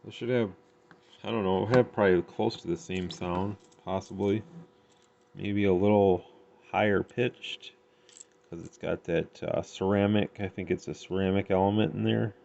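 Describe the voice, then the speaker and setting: Male hobbyist reviewer, low creaky voice, tentative and informal. Muffled lo-fi home recording with faint noise. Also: steady tone, normal speed, a little mellow. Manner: unpolished, tentative, thinking aloud. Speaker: CB radio hobbyist reviewing gear. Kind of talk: casual hands-on gear demonstration.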